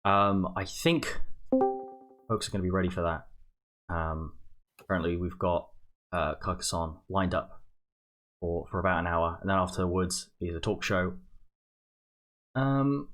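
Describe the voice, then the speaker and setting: Low-voiced, halting young man hosting a stream. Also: fairly bright tone, soft background music. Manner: hesitant, halting, unscripted. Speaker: charity livestream host. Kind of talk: casual livestream announcements and chat. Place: indoor home streaming setup.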